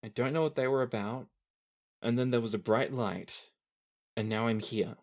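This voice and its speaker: A man's voice: medium pitch, fairly even intonation, moderate pace, darkish tone, lightly acted. A man voicing a dazed, courteous young fanged man just brought back to life, hesitant and bewildered, in a tabletop game. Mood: hesitant, concerned. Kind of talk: improvised tabletop roleplay.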